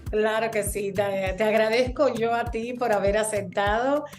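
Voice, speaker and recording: A woman with a mid-range voice hosts a casual conversation. She pauses often and fills the gaps with hesitations while staying friendly. Faint music runs behind her. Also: mid-range voice, fairly even intonation, a little mellow. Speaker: host, realtor. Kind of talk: casual interview chat.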